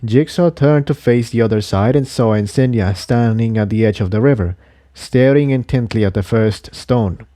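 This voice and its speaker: A man reading a scripted adventure story as narrator in a measured way. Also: mid-range voice, dark tone, no room sound, clean studio sound. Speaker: audiobook reader of fan fiction.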